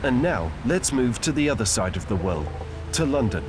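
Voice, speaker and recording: Steady male documentary narrator. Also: mid-range voice, normal speed. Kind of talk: scripted narration. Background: music.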